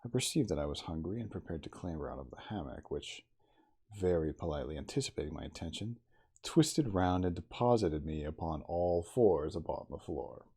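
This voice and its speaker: Very low, gravelly man reading softly. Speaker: audiobook reader in character as the narrator. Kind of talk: ASMR reading of a classic novel.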